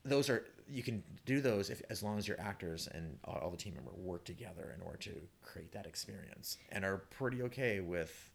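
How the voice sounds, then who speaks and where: A man's voice: low voice, lively intonation, brisk, gravelly, hardly any pauses, husky. A man talking casually as a playwright in a panel discussion, often restarting and repeating his words. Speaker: playwright and theatre maker.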